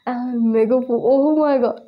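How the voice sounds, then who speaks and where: Higher-pitched, slow-paced young woman vlogger. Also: fairly even intonation, lo-fi sound. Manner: gushing, hesitant, repeats words for emphasis. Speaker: reaction video host. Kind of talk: casual, excited reaction chat to camera. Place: small plain room indoors.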